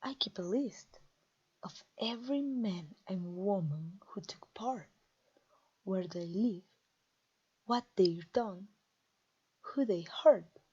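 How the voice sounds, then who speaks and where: A woman voicing an imperious, vengeful vampire queen in a fantasy audio roleplay, taunting a captive with theatrical, deliberate pauses. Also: mid-range voice, fairly bright tone, breathy edge, highs cut off, heavily acted. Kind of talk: scripted ASMR fantasy roleplay audio drama.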